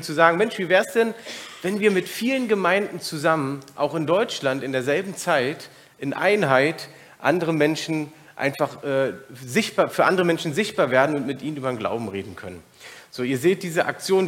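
A man's voice: fairly high pitch, fairly bright tone. German man preaching casually to a congregation. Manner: informal and personal, many filler sounds. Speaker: guest preacher. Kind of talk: church talk to a congregation.

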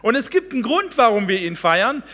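A man in his forties with a high, bright voice preaches a sermon. He speaks earnestly and emphatically, pausing on fillers and restarting sentences. The sound carries a long hall reverb. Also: sing-song. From Hesse, Germany. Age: 40s. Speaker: guest preacher.

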